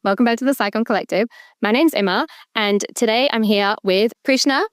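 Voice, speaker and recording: A young woman hosting a student podcast, with upbeat, brisk introductions. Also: on the high side, clean tone. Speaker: host.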